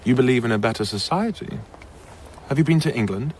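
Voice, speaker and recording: An actor playing a courteous, sceptical and curious scholar-diplomat in an audio drama, articulate and conversational in debate. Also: male voice, mid-range voice, animated, fairly quick, a little hiss or hum, lightly acted.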